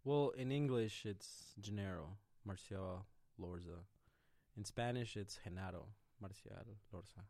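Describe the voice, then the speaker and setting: A man's voice: lowish voice, measured pace, darkish tone, no echo, studio quality. A young man from Mexico, co-hosting a podcast in loose, joking chat, often laughing and trailing off. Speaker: podcast co-host. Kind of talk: casual chat.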